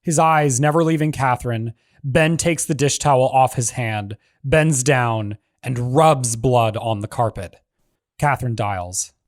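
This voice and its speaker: A man reads out screenplay action lines in a measured, even delivery at a middle pitch. The recording is dry and close, with almost no room sound. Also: no room sound. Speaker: narrator reading stage directions. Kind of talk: scripted narration of a screenplay.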